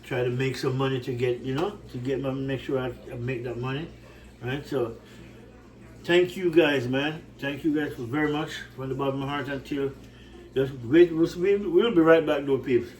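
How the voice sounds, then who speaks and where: A man's voice: medium pitch, moderate pace, distant mic, low-quality recording. A man from Jamaica with a Jamaican accent, chatting casually to his cooking channel viewers in his home kitchen, rambling and asking "right?" a lot. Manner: warm, repetitive, rambling, often says "right?".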